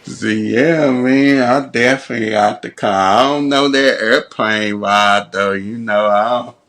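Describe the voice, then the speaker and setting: A man hosting a podcast, talking in a slow, laid-back way with short reactions. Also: mid-range voice, a bit bright. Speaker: podcast host. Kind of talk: casual conversation.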